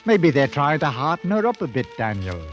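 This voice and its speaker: An actor playing a loyal, worried British ex-soldier with a working-class English accent, earnest and plain-spoken, in 1950s radio drama style. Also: male voice, a little high, big pitch swings, brisk. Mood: uneasy. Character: loyal, anxious British ex-soldier, the king's comrade. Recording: muffled vintage 1950s radio broadcast, faint music.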